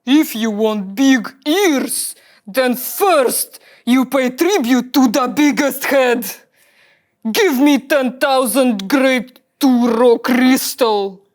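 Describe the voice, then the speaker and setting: Slow, pompous, dim alien warlord. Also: female voice, fairly high pitch. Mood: pompous, demanding. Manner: broken grammar, blunt pompous declarations. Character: boastful dim-witted big-headed alien warlord, menacing. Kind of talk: character table read of sci-fi script.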